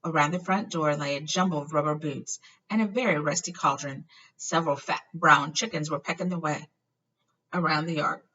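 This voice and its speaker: A woman reading a children's storybook aloud in an animated, expressive way, with slight stumbles. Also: low voice, moderate pace, slightly rough. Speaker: reader of a children's book. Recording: dull lo-fi, far from the mic.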